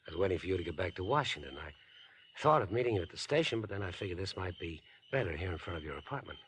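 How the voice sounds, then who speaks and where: A man's voice: sits low, lively intonation, very fast, fairly bright tone. An actor playing a falsely friendly, wheedling mama's-boy murderer in a 1950s radio suspense drama. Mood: smug, confiding. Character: smooth, insinuating, grown-up mama's-boy murderer with obsessive friendliness. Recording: noisy vintage 1950s radio broadcast.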